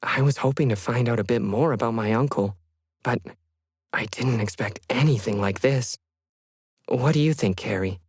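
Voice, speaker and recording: A man's voice: medium pitch, moderate pace, husky, no echo, natural voice. A man acts out a puzzled woman in an audio story. The talk is conversational and pondering. The sound is dry, with hardly any room to it. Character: puzzled woman sorting through her late uncle's papers.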